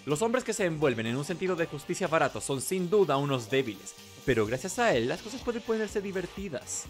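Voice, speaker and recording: A man's voice: fairly high pitch, sing-song, brisk, faint music behind. Man playing a haughty, contemptuous young man. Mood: cold, scornful. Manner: haughty, commanding, forceful. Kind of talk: video game character voicing.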